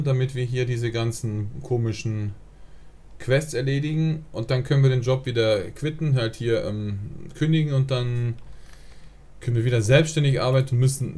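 A man's voice: medium pitch, dark tone. A man, live streaming a life-simulation game, rambling casually and answering chat with lots of fillers. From Germany. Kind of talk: casual gaming livestream chat.

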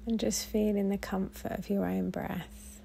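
Soft, flat, creaky-voiced woman guiding meditation. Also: medium pitch, slight background noise. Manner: gentle, soothing, unhurried, with long pauses. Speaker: meditation guide. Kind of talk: guided body scan meditation.

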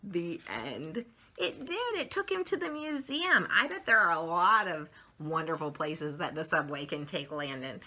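Animated middle-aged woman reading to children. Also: on the low side. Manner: expressive, drawn-out emphasis for young listeners. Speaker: children's story channel host reading picture books aloud. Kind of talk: read-aloud storytelling for children. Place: small furnished room at home, indoors.

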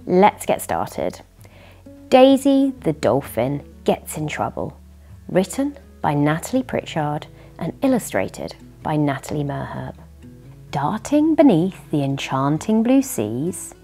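A British woman in her thirties reading a storybook to young listeners in an animated way, indoors in a living room. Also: low voice, gravelly, husky. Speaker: children's story reader and host. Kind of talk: expressive children's storybook reading. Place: furnished living room by a fireplace.

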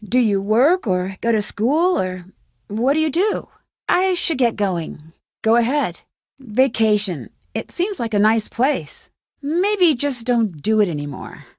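A woman's voice: a little high, big pitch swings, slow, no echo, clean studio sound, exaggerated voice. A woman playing a young American detective in a video game, inquisitive, determined and guarded, speaking in a direct, conversational way. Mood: polite, curious. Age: young adult. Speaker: video game lead character. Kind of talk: scripted game dialogue.

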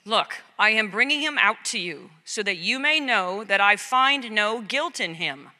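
A woman playing forceful, insistent Roman-era figures in a dramatic scripture reading, with emphatic, declamatory delivery. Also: mid-range voice, expressive, a little slow. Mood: commanding, questioning. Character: Roman governor and clamoring crowd, forceful and insistent. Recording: long echoing hall reverb.

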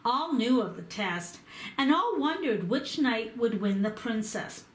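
A woman reading a picture book aloud, slow and careful in her storytelling. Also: on the high side, lively intonation, slight background noise. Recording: echoey room.

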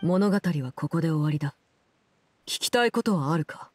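An actress playing a cold, commanding woman in an anime game, speaking in a measured, formal, detached way. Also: low-pitched, animated, breathy edge. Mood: coolly probing. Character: cold, composed, commanding female Fatui Harbinger in her prime. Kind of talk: Japanese anime game voice acting, solemn storytelling.

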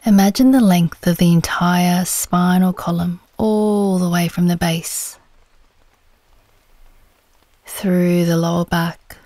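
Female meditation guide: dark, relaxed timbre, soothing unhurried delivery, soft breathy phrase endings, very dry recording. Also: fairly low pitch, no echo. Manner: gentle, soothing, unhurried with long pauses.

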